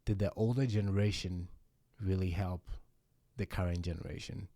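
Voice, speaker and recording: Male podcast host, low voice, mellow tone, dry close sound, reflective, casual chat. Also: steady tone, moderate pace, no echo. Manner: reflective, thinks aloud with many "you know" and "like" fillers.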